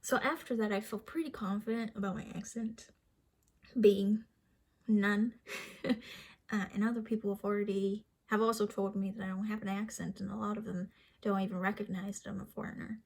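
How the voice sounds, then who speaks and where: A woman's voice: medium pitch, steady tone, normal speed, creaky, husky. A young woman whose first language is Vietnamese speaks with a light Vietnamese accent. She talks to the camera in a casual, chatty, self-deprecating way, with restarts and small laughs. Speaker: vlogger.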